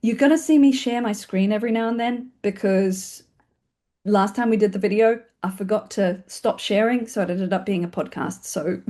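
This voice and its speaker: Female webinar host, New Zealand accent, mid-range voice, informal and chatty, quick-talking presenter style. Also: mid-range voice, warm tone. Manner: fast-talking, chatty, self-deprecating, questioning okay check-ins. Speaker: webinar host and film community organiser. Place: online video call.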